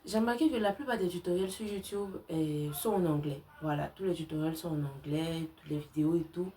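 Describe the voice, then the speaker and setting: A young woman hosts a craft tutorial in a familiar, chatty register. Her voice sits a little low and has a very mellow, dark tone. There is a light layer of noise behind her. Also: fairly quick. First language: not French-only, has a self-described slight accent. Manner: informal, girlfriend-to-girlfriend address with colloquial tags. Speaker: crochet tutorial host.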